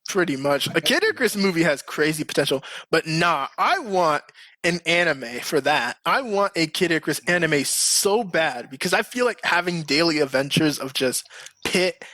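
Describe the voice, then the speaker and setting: Male podcast host, bright and animated, higher register, casual and emphatic group banter. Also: normal speed, dry recording.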